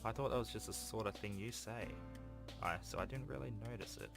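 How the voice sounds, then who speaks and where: A man's voice: on the low side, fairly quick, gravelly. A man voicing an earnest, helpful teenage schoolboy from a visual novel in a plain, matter-of-fact manner. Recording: music behind the voice.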